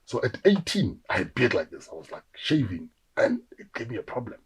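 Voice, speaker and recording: A South African man in his forties, an actor telling animated stories in a casual interview and acting out the voices of people he quotes. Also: medium pitch, brisk, a little hiss or hum. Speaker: actor guest.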